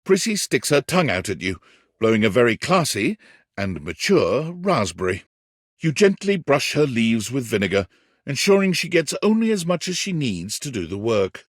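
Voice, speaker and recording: A man playing a whimsical, wry yet tender storybook narrator in a video game, with theatrical, deliberate phrasing. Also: medium pitch, sing-song, no echo, clean studio sound. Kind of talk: scripted second-person storybook narration.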